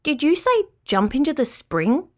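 Woman as a plaintive, high-voiced little sea rat. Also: lively intonation, slow pace. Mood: dismayed. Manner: plaintive and indignant. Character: naive, fretful, easily offended little male sea rat. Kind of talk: children's storybook character voice.

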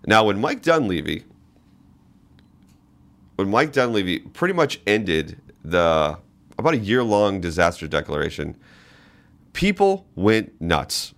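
A man with a low, deep voice delivers political commentary as a podcast host. He talks in a monologue and stresses his points emphatically, often repeating a phrase to drive it in. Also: sing-song, measured pace, pauses often.

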